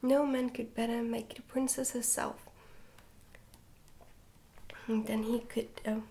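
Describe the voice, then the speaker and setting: A woman's voice: a little high, level tone, takes it slowly, slight background noise. Whispering woman reading a storybook, ASMR style. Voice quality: whispery, breathy, soft-spoken. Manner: gentle, hushed, careful storytelling. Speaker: ASMR book reader.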